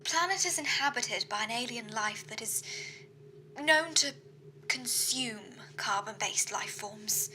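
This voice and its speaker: A woman playing a courteous, dutiful shipboard AI in a science fiction audio drama, speaking in formal, measured reports. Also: fairly high pitch, lively intonation, sharp tone, low-quality recording. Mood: cautious. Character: female ship's computer AI, precise, dutiful, courteous. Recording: faint background noise.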